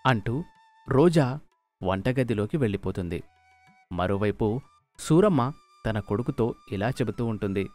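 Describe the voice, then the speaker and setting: A man's voice: medium pitch, lively intonation, unhurried, long pauses, darkish tone, dry recording. A man reading scripted narration for a moral story. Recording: music behind the voice.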